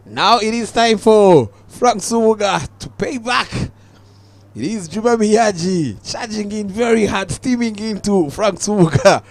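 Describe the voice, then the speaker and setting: A young Ugandan man giving live cricket commentary, calm and measured, lifting his voice on the big moments. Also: sits high.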